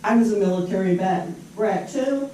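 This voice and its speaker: A man's voice: sits high, normal speed, mellow, far from the mic, slight background noise. Sardonic older man in an echoey hall. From born in Japan. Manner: sardonic, rambling. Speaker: public commenter. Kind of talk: public comment at a town meeting. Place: large echoey meeting room.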